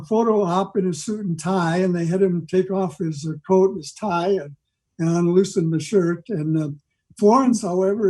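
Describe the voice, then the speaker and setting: An older man giving an informal history talk on a video call, rambling along with halting digressions. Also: high voice, warm tone, rough recording. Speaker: club member giving a presentation. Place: video meeting from home.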